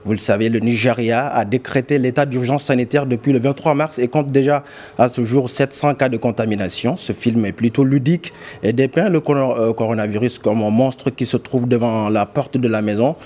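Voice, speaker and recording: A man's voice: mid-range voice, a little fast, a little mellow. A man presenting news reports in a semi-scripted broadcast style. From Senegal.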